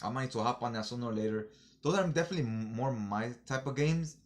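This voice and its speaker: A man's voice: medium pitch, fast. Laid-back talking man, casual vlog reviewer. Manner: slangy, informal fan talk. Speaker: comic book haul reviewer.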